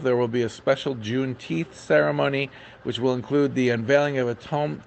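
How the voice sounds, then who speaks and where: A man's voice: mid-range voice, dull audio, slight background noise. Chatty, hesitant man giving informal events report. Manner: chatty, hesitant, frequently saying uh; enthusiastic. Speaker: podcast events correspondent.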